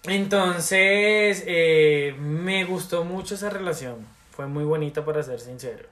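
A man's voice: a little high, unhurried, a bit bright. A man in his twenties with a Colombian accent, co-hosting a podcast in casual, playful, slangy banter. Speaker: podcast co-host. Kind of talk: casual banter about zodiac signs and relationships.

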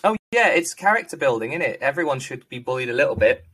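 A man's voice: mid-range voice, big pitch swings, fast talker, fairly bright tone, breathy edge. A young man from Manchester, a GP guest on a casual podcast, sarcastic and self-mocking. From England (Manchester). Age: young adult.

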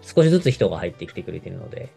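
A man around forty with a very low, creaky voice. He talks quickly, explaining things casually as a radio co-host. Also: sing-song, mellow. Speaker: radio show co-host, marketer. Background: background music.

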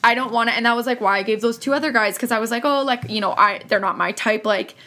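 A woman's voice: a little high, fast talker, bright, forceful tone. A woman in her early thirties from Canada, chatting frankly with lots of swearing and fillers. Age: 30s. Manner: frank, profane, filler-heavy talk. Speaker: guest. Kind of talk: casual chat.

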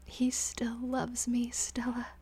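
A woman voicing a young, lovesick servant girl who talks in a trembling, breathy whisper. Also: fairly high pitch, little pitch movement, slow pace, full character voice. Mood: dreamy.

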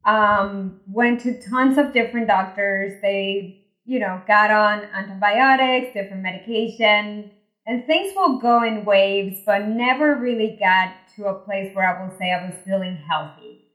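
A woman's voice: medium pitch, fairly bright tone. Friendly, reflective woman guest in her forties, from Venezuela, recorded in an echoey room. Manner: friendly, reflective, laughs easily. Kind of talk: casual interview.

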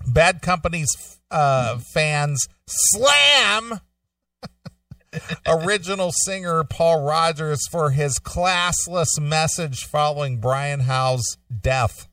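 Informal male co-host, slightly high voice. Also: animated, extremely slow, pushed and bright, dry recording, recorded from a distance. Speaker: show co-host. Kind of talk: casual talk, reading news stories aloud.

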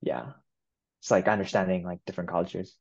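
A young man from Japan who speaks English as a second language, with a fairly low voice. He answers interview questions in an easygoing way, filling his pauses with "um" and "you know". The recording sounds muffled and lo-fi. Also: gravelly. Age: 20s. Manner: relaxed, many fillers like "you know" and "um". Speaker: guest. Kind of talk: casual interview.

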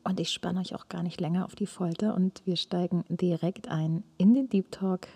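A woman hosting a podcast, speaking in a friendly, softly spoken way. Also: fairly low pitch, steady tone, moderate pace, slightly rough, no echo. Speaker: podcast host.

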